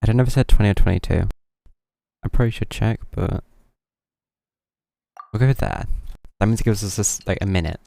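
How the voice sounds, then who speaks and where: A woman streamer chatting offhandedly as she plays, muttering and correcting herself. Her voice sits extremely low with a gravelly fry and a dark, mellow tone. Faint game music runs beneath. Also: fairly quick, long pauses, dry recording. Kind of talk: casual gameplay commentary.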